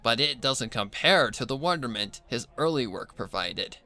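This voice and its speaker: A man's voice: mid-range voice, animated, normal speed, pushed and bright. A man voicing a fussy classical musician pony in a story, earnest and opinionated.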